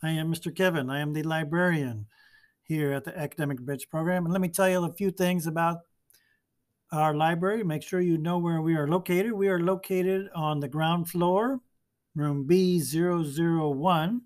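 A man who is a librarian hosting a school podcast, friendly and asking questions with many pauses and fillers. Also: on the high side, darkish tone.

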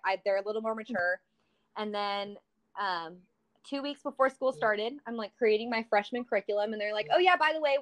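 A woman in her twenties, a high school English teacher, chatting casually and acting out quotes as she tells stories. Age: 20s. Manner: chatty, animated storytelling with acted-out quotes. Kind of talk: casual conversation.

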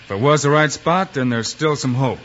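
Guy as a take-charge cowboy hero, medium pitch, firm n reassuring, muffled old radio western. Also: brisk, fairly bright tone, close to an everyday voice. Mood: reasoning. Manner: firm, reassuring, plain-spoken. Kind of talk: 1950s radio western acting. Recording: dull, noisy old radio recording.

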